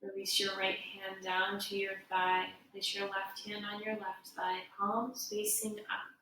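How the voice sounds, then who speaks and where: A woman giving guided meditation instruction as a yoga teacher, in a gentle, unhurried, soothing way. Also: mid-range voice, level tone. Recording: echoey room, distant mic, faint noise.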